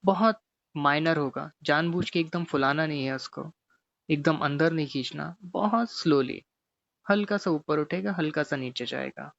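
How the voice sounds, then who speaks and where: A man teaching guided meditation, giving gently repetitive, step-by-step instructions. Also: a little high, moderate pace, vocal fry, dry recording, muffled recording.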